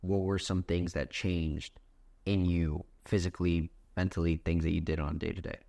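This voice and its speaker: A man's voice: deep voice, flat delivery, normal speed, gravelly, warm tone. A man in his twenties hosting a podcast, asking thoughtful, probing questions in a casual chat. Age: 20s. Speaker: podcast host.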